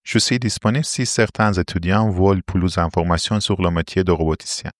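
Low-voiced Iranian-accented man, formal and explanatory. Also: fairly quick, no echo, studio quality. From Iran. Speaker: interview guest, robotics R&D engineer. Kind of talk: prepared interview answers.

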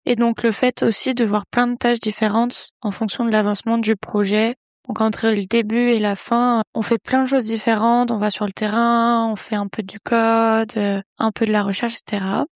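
Young woman calmly presenting, dry sound. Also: a little high, moderate pace, no room sound. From Paris region, France. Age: young adult. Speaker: engineering intern. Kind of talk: scripted self-presentation.

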